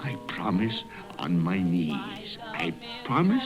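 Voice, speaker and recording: An actor playing an old miser turned frantically repentant, in theatrical, gasping, exclamatory 1930s radio drama style. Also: male voice, low voice, huge pitch swings, slow, dull audio, full character voice. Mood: pleading, terrified. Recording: 1930s radio recording with music.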